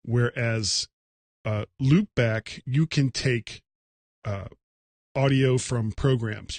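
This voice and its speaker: A man's voice: medium pitch, a little mellow, no echo. Casual, rambling man hosting a tech livecast. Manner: rambling, halting, self-deprecating. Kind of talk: casual solo livecast talk.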